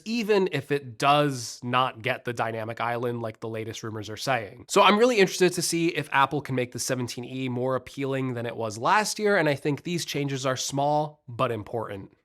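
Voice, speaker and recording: Stuffy-nosed guy doing a chatty tech video, kinda rough voice, joking about it. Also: medium pitch, a bit bright. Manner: chatty, upbeat, self-deprecating. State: congested, slightly rough voice from recovering illness. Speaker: tech channel host. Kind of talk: casual tech news vlog.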